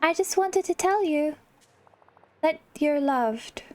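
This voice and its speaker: A very high-pitched, caring girl mouse from a cartoon game, put on by a woman. She is cheerful and gushing, full of sweet reassurance. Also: animated, takes it slowly, darkish tone, slight background noise. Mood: tender, reassuring.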